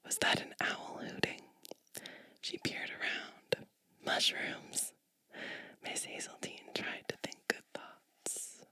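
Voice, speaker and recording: Slow whispering woman, close and crisp. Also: no echo. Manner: unhurried, gentle, soothing storytelling. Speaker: ASMR host reading a storybook aloud. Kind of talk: whispered ASMR bedtime storybook reading with asides. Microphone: close microphone.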